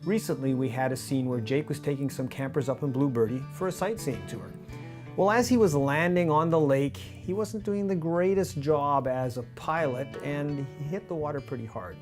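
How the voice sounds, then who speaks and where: A man in his fifties with a North American accent presents a scripted behind-the-scenes explainer in a measured, clear manner. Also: on the high side, darkish tone, soft background music. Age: 50s. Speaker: radio drama director and presenter. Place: small indoor studio office with monitors. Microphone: lavalier on shirt.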